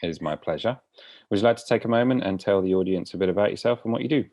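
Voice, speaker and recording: A male podcast host with a very deep, low voice. He keeps to short, polite questions and lets his guest do most of the talking. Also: racing, a little mellow. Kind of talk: podcast interview.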